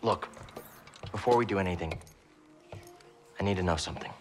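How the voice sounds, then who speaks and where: A man's voice: low-pitched, normal speed, faint music behind, natural voice. A young man playing an earnest, determined hero in a cinematic video game, speaking plainly and directly. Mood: serious. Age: young adult.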